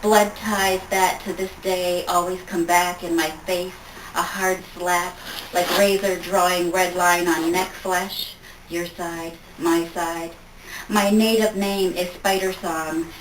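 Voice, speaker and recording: A woman reading poetry aloud at a live event, measured and in short separate phrases. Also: fairly low pitch, level tone. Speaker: poetry reader at a tribute event. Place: live event room, recorded from a distance. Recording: echoey room, distant mic, faint noise.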